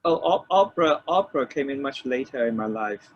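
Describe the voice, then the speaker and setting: An older man from Malaysia, reminiscing as an interview guest in a halting way, repeating phrases as he explains. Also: medium pitch, animated. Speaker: interview guest, record producer. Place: room with shelves of DVDs, on a remote call. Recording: dull lo-fi call, faint noise.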